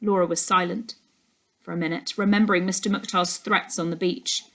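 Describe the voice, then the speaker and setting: A woman's voice: fairly low pitch, steady tone, brisk, fairly bright tone. A woman narrating a children's novel as a teacher reading to a class. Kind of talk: storybook narration.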